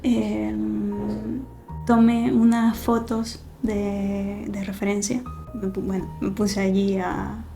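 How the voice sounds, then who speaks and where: A woman, chatting casually in a home vlog, soft-spoken and thinking aloud with small pauses. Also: medium pitch, vocal fry, over music. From Venezuela. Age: 30s. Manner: soft, relaxed, thinking aloud with fillers and restarts. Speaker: artist vlogger. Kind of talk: casual vlog talk to camera. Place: living room at home, cats playing nearby.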